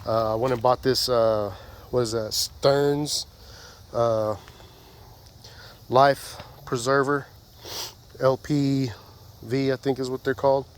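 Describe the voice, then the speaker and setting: A man's voice: medium pitch, fairly even intonation, slow, pauses often, clear, resonant tone. A man with a congested, stuffy voice, casually walking through his fishing gear outdoors in a rambling, folksy way. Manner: rambling, folksy, many fillers. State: congested from a sinus infection. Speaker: fishing vlogger.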